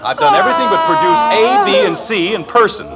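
Exasperated suburban dad, middle-aged, lively swooping delivery, sarcastic, emphatic, 1950s radio comedy, vintage recording. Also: male voice, high voice, a little fast, over loud music, over a noisy crowd. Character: exasperated suburban father, fussy, sarcastic, losing patience. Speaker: lead actor in a radio sitcom.

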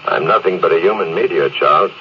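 An actor playing a stern, stoic spaceship captain with a grave, authoritative manner in 1950s radio drama style. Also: male voice, sits low, fast talker, natural voice. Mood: resigned. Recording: old muffled radio recording with light hiss.

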